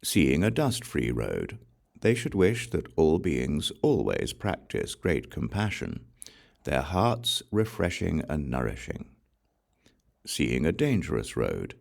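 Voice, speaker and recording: A man reading Buddhist scripture as an audiobook in a solemn, measured, formal way. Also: rumbling low, creaky, darkish tone. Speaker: audiobook reader.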